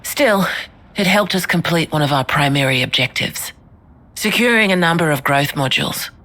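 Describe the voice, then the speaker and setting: An actress playing a confident, seasoned resistance leader in a video game, speaking frankly and colloquially with authority. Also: female voice, sits low, lively intonation, moderate pace, fairly bright tone. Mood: firm, conciliatory. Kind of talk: dramatic video game voice acting. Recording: music behind the voice.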